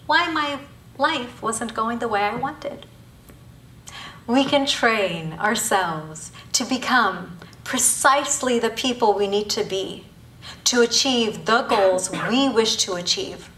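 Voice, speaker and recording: A woman in her thirties from Bangladesh performs a spoken-word piece live, her slightly high voice pressing each listed word with emphatic, rhetorical pauses. She sounds set back from the microphone, and a roomy hall echo trails her lines. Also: fairly bright tone, slight background noise. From Bangladesh, raised in Thailand. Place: university auditorium before an audience. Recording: echoey hall, voice from a distance.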